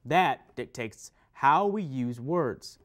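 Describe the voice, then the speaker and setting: A man's voice: mid-range voice, lively intonation, slow, fairly bright tone. A man playing a pedantic teacher in an instructional play, stressing key words in a didactic way. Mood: pedantic, insistent. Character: pedantic male teacher patiently correcting a student. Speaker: readers theater performer. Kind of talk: scripted instructional stage dialogue.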